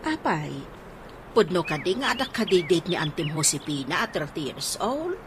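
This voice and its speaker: A woman's voice: on the low side, sing-song, normal speed. A woman acting a nosy, gossiping senior-citizen neighbour in an Ilocano radio comedy drama, with a scandalised manner. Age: older. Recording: radio drama with clear background noise.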